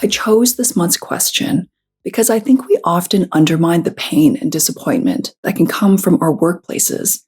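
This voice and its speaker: A woman hosting a podcast in an earnest, gentle way, her voice a little hoarse from a cold. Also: fairly low pitch, lively intonation, normal speed, faint music behind. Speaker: podcast host. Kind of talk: podcast conversation and scripted intro.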